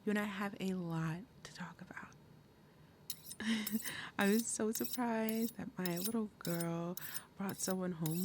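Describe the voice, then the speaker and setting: A woman voicing a big, possessive demon mother in an ASMR roleplay, falsely sweet and threatening, speaking deliberately and dropping into breathy murmurs. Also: mid-range voice, darkish tone, slight background noise, close to an everyday voice. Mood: falsely sweet, probing. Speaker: roleplay audio performer. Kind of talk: ASMR roleplay, acted monologue.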